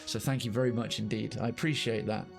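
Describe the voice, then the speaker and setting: A man's voice: lowish voice, steady tone, quick pace, vocal fry, faint music behind. A man hosting a game livestream, chatting casually with his viewers and repeating phrases for emphasis.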